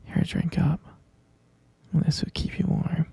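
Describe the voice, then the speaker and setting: A man playing an obsessive, doting kidnapper lover in an intimate ASMR roleplay, breathy and whispery, cooing pet names in a coaxing way. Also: slow, mellow, dry recording, natural voice. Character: obsessive, doting male kidnapper lover, unhinged yet tender.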